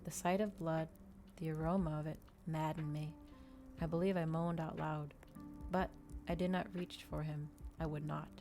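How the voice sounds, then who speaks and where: A woman doing a soft, unhurried ASMR reading of a novel, with small stumbles and restarts. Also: sits low, flat delivery, faint music behind. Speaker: streamer reading a novel aloud. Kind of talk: ASMR audiobook-style reading.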